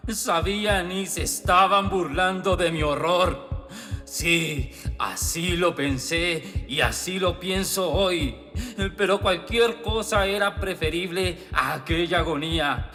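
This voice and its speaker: Give the voice of a tormented murderer in a radio horror play. He is paranoid and halting, with a slow, agitated delivery on a higher-pitched male voice. Long hall reverb surrounds him, with music underneath. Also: sharp tone, heavily acted. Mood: anguished frenzy. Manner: agitated, halting, building to frantic outbursts. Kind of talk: dramatic radio horror monologue.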